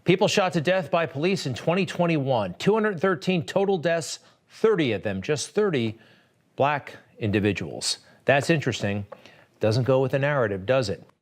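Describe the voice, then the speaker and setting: A man's voice: medium pitch, expressive, moderate pace. A man delivering sardonic political opinion commentary, full of rhetorical questions.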